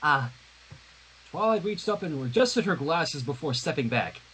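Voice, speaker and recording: A man hosting a fan fiction reading, expressively narrating the story with casual asides in a slightly husky voice. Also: a little high, measured pace, a little hiss or hum. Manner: expressive, acts out dialogue. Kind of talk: dramatic read-aloud of a story with casual commentary.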